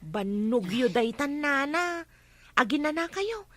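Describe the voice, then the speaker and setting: A woman's voice: high-pitched, lively intonation, slow, fairly bright tone, no room sound, exaggerated voice. A woman with an Ilocano accent acting a concerned young villager in a comedy drama, fussing with questions and mild chiding.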